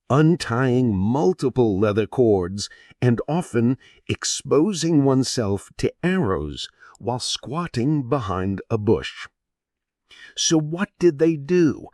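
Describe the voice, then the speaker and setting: A man narrates a history tale meant for falling asleep, taking his time over each phrase. His delivery is gentle and theatrical, with widely varying intonation and deliberate pauses. The recording is close and dry, free of room sound. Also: medium pitch, no room sound. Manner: gentle, soothing, storyteller's dramatic pauses and rhetorical questions. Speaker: narrator of sleep history stories. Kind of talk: scripted bedtime history narration.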